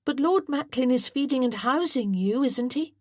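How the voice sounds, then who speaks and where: A woman's voice: high voice, animated, normal speed, a little mellow, dry recording, clean studio sound, voice pushed up. A woman voicing an earnest, well-bred young boy who speaks in a precise, formal way. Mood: pleading.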